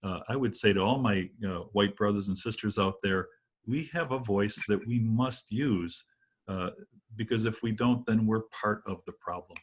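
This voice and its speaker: Husky older priest, reflective. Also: male voice, on the low side, moderate pace. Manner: thoughtful, reflective. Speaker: priest guest. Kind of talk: video call discussion. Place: home room. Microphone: video call webcam.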